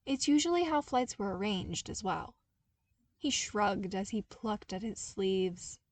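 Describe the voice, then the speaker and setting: A woman reading a story aloud as an audiobook narrator, in an expressive scripted style. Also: medium pitch, a little slow.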